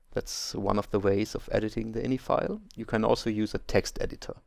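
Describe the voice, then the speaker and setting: A man's voice: on the low side, gravelly, darkish tone, dry recording, clean studio sound. A German man speaking English as a second language, hosting a game let's play and carefully explaining settings step by step with small hesitations. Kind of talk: video game tutorial commentary.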